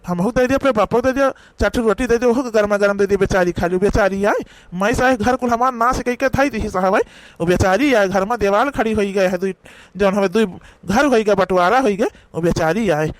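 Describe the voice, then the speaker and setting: A man plays a cranky old village father-in-law from a comedy cartoon. His voice is high for a man and closely recorded without echo. He has an Awadhi accent and scolds in an emphatic, rambling way. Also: animated, brisk. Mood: indignant.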